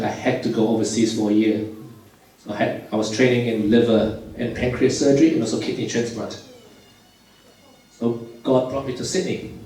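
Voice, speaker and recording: A man from Malaysia in his forties, a surgeon telling his life story to a church youth group in a relaxed, plain way with many "um"s. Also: medium pitch, a little hiss or hum. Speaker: surgeon giving a testimony. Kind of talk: personal testimony talk to youth. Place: church hall. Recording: very echoey hall, voice from far off, faint music.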